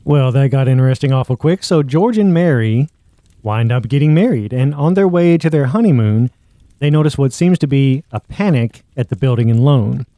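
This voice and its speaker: A man's voice: mid-range voice, lively intonation, normal speed, dark tone, no room sound. A man hosting a podcast, recapping a story in a casual, conversational way. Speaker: podcast host. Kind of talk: casual plot recap narration.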